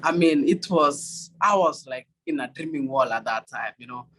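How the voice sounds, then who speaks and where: A young man from Kenya with a Kenyan accent, a Swahili speaker, answering interview questions haltingly with many fillers and restarts. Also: medium pitch, fairly bright tone. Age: young adult. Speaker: college runner.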